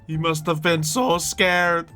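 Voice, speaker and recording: A man's voice: a little high, fairly even intonation, slow, bright, forceful tone, faint music behind. A man playing an eager, childlike prankster at a demigod camp in improvised Minecraft roleplay, chatting and rambling playfully.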